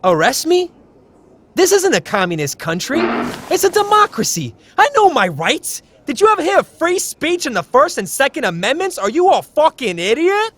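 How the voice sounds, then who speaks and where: An actor in an audio drama playing a short, shifty, bullying political fixer with a blustering, argumentative way of talking. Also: male voice, extremely high pitch, huge pitch swings, moderate pace, fairly bright tone, slight background noise, heavily acted. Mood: indignant, threatening.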